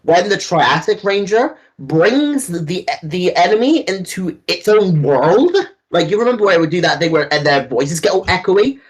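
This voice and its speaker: A man's voice: high-pitched, sing-song, normal speed, slightly rough, rough recording. A man co-hosting a casual fan discussion, talking in an enthusiastic, rambling way with some casual swearing. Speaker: co-host.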